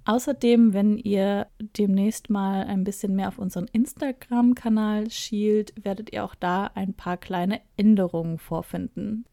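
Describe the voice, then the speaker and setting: A woman's voice: medium pitch, fairly even intonation, measured pace, creaky, few pauses, warm tone, no room sound, clean studio sound. A thirty-year-old woman from the Ruhr area, co-hosting a podcast in a chatty, enthusiastic way. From Ruhr area, Germany. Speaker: podcast co-host. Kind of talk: casual podcast chat.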